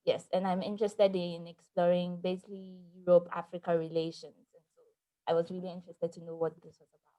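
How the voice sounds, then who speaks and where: A woman's voice: on the low side, flat delivery, fast, warm tone, low-quality recording. A woman student from Ghana introducing herself politely in an online seminar over a video call.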